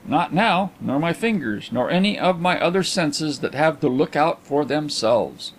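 A man voicing a placid, self-assured, good-humoured gentleman in an audiobook, with a suave and courteous manner. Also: on the high side, expressive, measured pace. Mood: quietly amused. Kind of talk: audiobook character acting.

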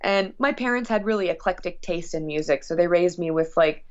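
A woman's voice: fairly low pitch, brisk, muffled recording. A woman guest chatting casually in an interview about her work as a musician, rambling expressively with frequent asides.

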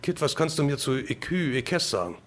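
A man's voice: a little high, sing-song, moderate pace, slight background noise. A man playing an easygoing, loyal crime-fighting agent in an amateur radio play, casual and reassuring. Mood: casual, decisive.

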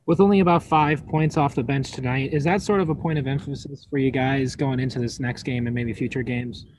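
A man's voice: mid-range voice, fairly even intonation, brisk, a little mellow, slight background noise, low-quality recording. A male reporter putting direct questions to a coach at a press conference.

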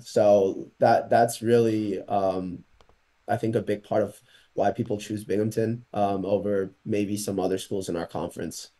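Young man, from Portugal, low mellow voice, relaxed, hesitant, laptop mic video call. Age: young adult. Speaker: college tennis player guest. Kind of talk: casual video-call interview.

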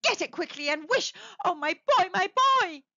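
Casting: woman performing willful, grieving old woman for an audiobook; high, urgent, exclaiming, slightly muffled sound. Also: very animated, normal speed, bright, forceful tone, no echo, heavily acted. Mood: triumphant, frantic. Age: older. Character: grief-stricken, frantic, willful old woman, a bereaved mother. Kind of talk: dramatic audiobook character reading.

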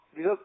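A man with a foreign accent in German, a non-native speaker who argues as a call-centre caller in halting, repetitive, badgering broken sentences. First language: not German. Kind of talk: argumentative phone conversation. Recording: narrow, tinny phone line.

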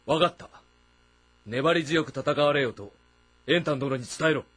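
An actor playing a commanding, shrewd warlord of ancient China in a dramatic game, with a terse, authoritative manner. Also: male voice, a little high, measured pace, a bit bright. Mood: grave. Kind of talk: dramatic audio-novel game acting.

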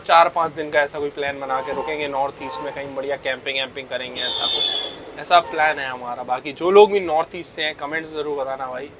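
A chatty man with a fairly high voice, vlogging a train trip and talking straight to his viewers as he goes. Faint train rumble sits behind him on a handheld camera mic. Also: a bit bright, rough recording. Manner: chatty, addresses viewers as bhai. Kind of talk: casual vlog narration. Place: aboard a moving train and on station platforms.

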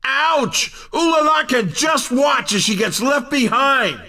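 Excitable male arcade racing commentator, extremely high pitch, bright forceful tone, punchy emphatic delivery, slightly distant. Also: slow, heavily acted. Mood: excited, teasing.

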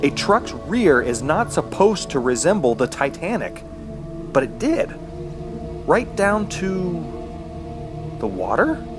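An actor playing a dazed, injured man trapped in the woods, narrating a radio horror drama in a halting, musing way. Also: a little high, very animated, over music. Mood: bewildered, musing. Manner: halting, musing, talking to himself.

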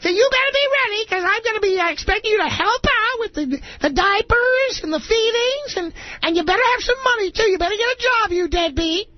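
Guy doing a shrill bossy girlfriend, scolding, dry muffled talk radio bit. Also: animated, fairly quick, hardly any pauses, no echo. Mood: nagging, indignant. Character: demanding pregnant girlfriend, shrill, nagging young woman. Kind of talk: comic impression on talk radio.

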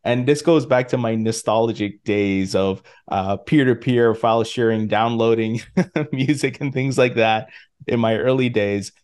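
A man's voice: mid-range voice, unhurried, darkish tone. Enthusiastic male podcast host. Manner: enthusiastic, friendly. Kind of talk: interview hosting. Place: home studio room.

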